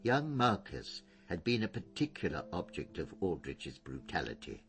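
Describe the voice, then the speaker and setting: An actor playing an elderly former schoolmaster in a radio crime drama, precise and pedantic. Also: male voice, sits low, sing-song, normal speed, fairly bright tone, soft background music, full character voice. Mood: precise, disapproving.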